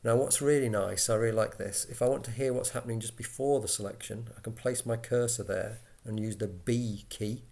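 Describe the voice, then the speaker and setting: A man giving an informal software walkthrough, explaining step by step in a conversational way as an instructor. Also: mid-range voice, steady tone, fairly quick, gravelly, few pauses, background noise.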